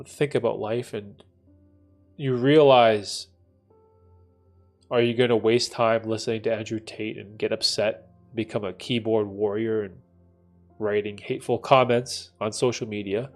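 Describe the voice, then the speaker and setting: A man's voice: fairly low pitch, steady tone, normal speed, gravelly, faint music behind. Reflective man in his thirties, chatting. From Canada. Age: 30s. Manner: thoughtful, rambling, many "right?" tags. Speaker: livestream host answering chat. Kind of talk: casual reflective livestream chat.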